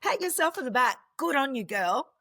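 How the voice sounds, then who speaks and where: A woman's voice: sits high, sing-song, normal speed, fairly bright tone, no echo, clean studio sound. A woman with an Australian accent hosts a casual interview, speaking in an effusive and enthusiastic way. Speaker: podcast host.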